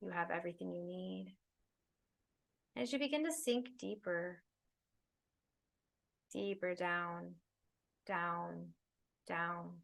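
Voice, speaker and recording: A woman leading a guided meditation, speaking soothingly in unhurried phrases with long pauses. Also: lowish voice, creaky, a bit bright. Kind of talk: guided meditation, spoken slowly to listeners.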